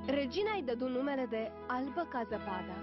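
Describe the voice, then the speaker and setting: A woman's voice: sits high, expressive. A woman narrator reading a scripted fairy tale for a cartoon. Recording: muffled old cartoon soundtrack, music behind.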